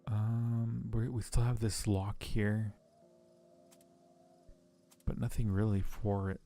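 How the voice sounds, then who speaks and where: Man, low-pitched, creaky voice, casual game streamer, thinking aloud, reading text aloud, music behind. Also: little pitch movement, slow, dark tone. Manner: thinks aloud while playing. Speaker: streamer playing a point-and-click game.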